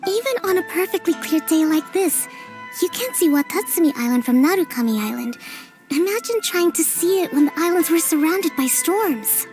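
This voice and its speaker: Gentle reflective young female leader, very high,. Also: normal speed, over music. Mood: calm. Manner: soft-spoken, calm, reflective. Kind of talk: game cutscene voice acting.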